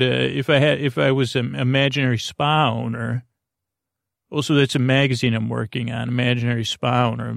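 A man hosting a podcast in a rambling, whimsical monologue, halting and repeating words as he drifts into tangents. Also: medium pitch, creaky, dry recording, studio quality. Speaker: podcast host.

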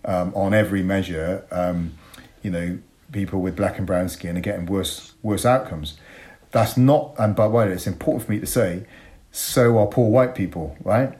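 A man in his forties with an English accent and a fairly low voice. He speaks as an interview guest, articulate and emphatic, building reflective arguments and repeating words to drive a point home. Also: normal speed, a little mellow. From Bristol, England. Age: 40s.

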